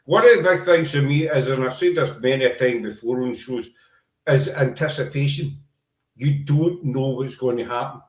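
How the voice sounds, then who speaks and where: A man's voice: mid-range voice, normal speed. A man from Glasgow with a Scottish accent, hosting a casual chat and talking in a chatty, rambling, emphatic way. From Scotland. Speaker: podcast host. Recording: roomy echo.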